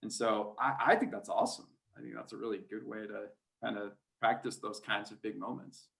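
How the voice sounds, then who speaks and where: A man's voice: on the low side, steady tone, brisk, vocal fry, a bit bright. A man speaking as a guest sports announcer in a casual podcast interview, rambling and hesitant as he thinks aloud.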